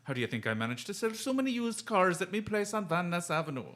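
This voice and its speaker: A woman playing a genial, flattering Irish salesman in an old-time radio drama, with an Irish accent and a folksy, effusive manner. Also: lowish voice, fast, natural voice. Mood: flattering, jovial. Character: blarney-spouting genial Irish male used-car salesman.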